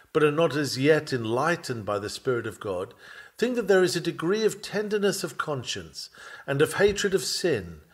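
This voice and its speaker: A man reading a sermon aloud in a measured, solemn and formal manner. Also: a little high. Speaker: sermon reader. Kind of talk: read-aloud sermon narration.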